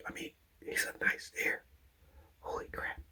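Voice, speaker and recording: A man whispering a hunting vlog outdoors, hushed, rambling and excited. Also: a little slow. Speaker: hunter vlogger.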